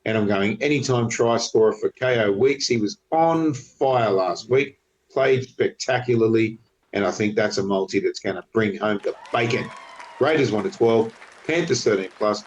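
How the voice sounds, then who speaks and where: A man's voice: fairly low pitch, normal speed, lo-fi sound. A man with a stuffy head cold, co-hosting a casual sports podcast from a hotel room, chatty and self-mocking. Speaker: podcast co-host. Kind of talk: casual sports tipping chat.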